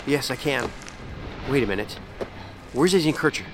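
A man acting an unflappable, deadpan investigator. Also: medium pitch, normal speed, background noise, close to an everyday voice. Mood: calm. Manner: understated, deadpan, musing. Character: calm, dry-witted male investigator, oddly unflappable under danger. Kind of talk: science fiction audio drama dialogue.

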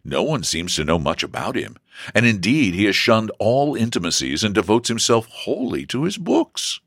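A man's voice: lowish voice, very animated, normal speed, a bit bright, slightly rough, dry recording, clean studio sound, voice pushed up, full character voice. A man voicing a good-humored, affectionate young brother in a storybook reading. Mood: earnest.

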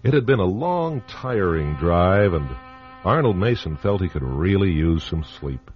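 A man with a very deep voice hosts and narrates a vintage radio suspense show. He sounds theatrical and knowing, with pauses for effect and a pitch that swings widely. The recording is dull and muffled, with faint music beneath. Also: big pitch swings. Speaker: host narrator. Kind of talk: radio drama host narration.

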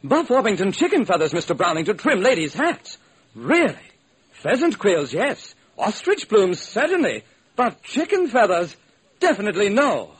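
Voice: medium pitch, very animated, normal speed, slightly rough. An actor playing a haughty, incredulous hat-trimmings dealer who speaks emphatically in an old-time radio drama. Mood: scornful, incredulous. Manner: haughty, emphatic, list-like phrasing.

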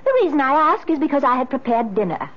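Wronged, refined wife, high expressive voice, pointed and formal, wounded, vintage radio drama. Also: female voice, fast talker. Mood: icy reproach. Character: Margaret, wronged, genteel wife, wounded and demanding. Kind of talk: 1970s radio drama acting. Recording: muffled vintage radio broadcast.

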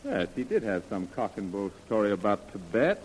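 Urbane major, earnest and insistent, very lively intonation, vintage 1930s radio drama. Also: male voice, on the low side, normal speed, a little hiss or hum, rough recording. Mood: assured, skeptical. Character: urbane, persuasive male army major, earnest and skeptical. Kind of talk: 1930s radio serial acting.

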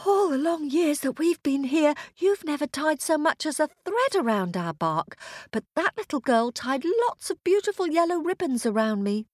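A proud, aggrieved birch tree from a storybook, given voice by a woman. It complains in a high voice with lively rises and falls. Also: normal speed, dry recording, clean studio sound.

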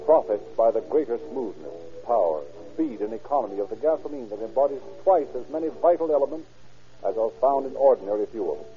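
A man's voice: mid-range voice, big pitch swings, fairly quick, faint music behind. A man working as an announcer, reading scripted copy formally and emphatically in 1930s radio style. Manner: formal, emphatic salesmanship and narration. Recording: tinny old 1930s radio recording.

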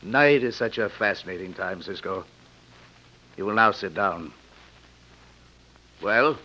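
A rich, courtly villain from an old radio drama, low-pitched and forceful beneath quiet, formal manners. He sounds sinister and unhurried, on a dull old recording. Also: lively intonation, heavily acted. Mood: silky menace. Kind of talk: 1940s-50s radio drama acting.

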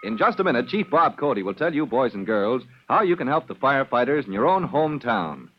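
A man's voice: medium pitch, sing-song, brisk. A male announcer introducing a radio drama episode with a dramatic delivery. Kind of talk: scripted radio narration. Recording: dull old radio recording.

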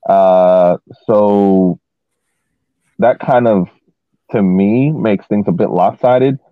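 Casting: tinny phone-quality feed, low-voiced male podcast panelist, halting and casual sports talk. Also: no room sound. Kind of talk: casual soccer analysis. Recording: thin phone-like audio.

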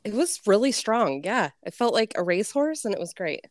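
A woman co-hosting a podcast, bantering frankly and deadpan, with a stuffy nose. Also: mid-range voice, a little fast. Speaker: podcast co-host. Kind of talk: casual podcast chat.

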